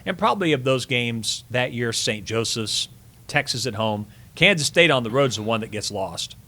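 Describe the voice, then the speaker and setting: Middle-aged man telling sports stories, medium pitch. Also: animated, normal speed, bright, forceful tone. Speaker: sports broadcaster, podcast guest. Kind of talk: casual storytelling interview.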